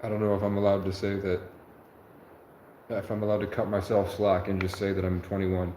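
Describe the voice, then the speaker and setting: Rambling young man, mellow and echoey. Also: deep voice, little pitch movement, fairly quick. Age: 20s. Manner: meandering, self-correcting, earnest. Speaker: livestreamer. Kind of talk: rambling livestream monologue. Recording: echoey room with faint noise.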